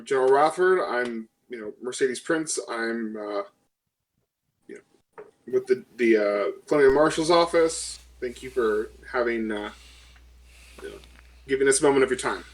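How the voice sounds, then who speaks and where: A man playing a polite, businesslike female marshal in a tabletop game, speaking haltingly. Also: medium pitch, voice pushed down, lightly acted, low-quality recording.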